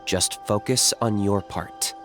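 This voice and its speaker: A man's voice: on the low side, steady tone, takes it slowly, a bit bright, soft background music. A man playing a serious, composed young warrior who gives instructions matter-of-factly in a video game. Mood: reassuring. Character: cool, serious young male warrior guide.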